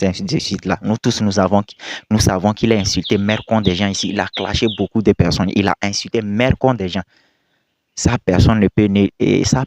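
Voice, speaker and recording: A man's voice: lowish voice, a little hiss or hum. A young man, not a native French speaker, casually commenting to his viewers in an emphatic, repetitive way with scolding rhetorical questions. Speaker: video commentator.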